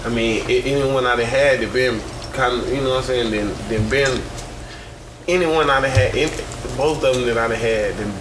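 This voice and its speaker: A man's voice: mid-range voice, moderate pace, background noise. Hesitant man, distant mic. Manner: hesitant, many restarts and fillers. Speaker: panelist. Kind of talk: casual group chat. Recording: distant mic in crowded room.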